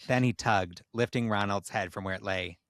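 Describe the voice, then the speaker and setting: A man's voice: lowish voice, moderate pace, fairly bright tone, no echo, studio quality. A man co-hosting a comedy podcast, reading a story aloud in dramatic narration. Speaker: podcast co-host. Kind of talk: comedic dramatic reading of erotic fan fiction.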